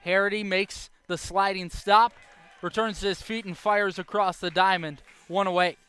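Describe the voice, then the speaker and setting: A young adult man doing live baseball play-by-play as the announcer, speaking in short clipped phrases with measured pauses. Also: sits high, fairly even intonation, sharp tone, smooth, faint music behind. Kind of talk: live sports play-by-play commentary.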